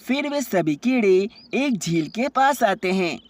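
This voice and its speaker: Mid-pitched woman narrating a children's story. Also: animated, measured pace.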